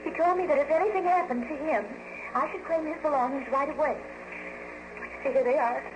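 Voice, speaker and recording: A woman playing a nervous, distraught widow with halting, repetitive speech, in a 1940s radio detective drama. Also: high voice, close to an everyday voice. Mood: flustered, anxious. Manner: halting, anxious, repeats herself. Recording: noisy vintage radio recording.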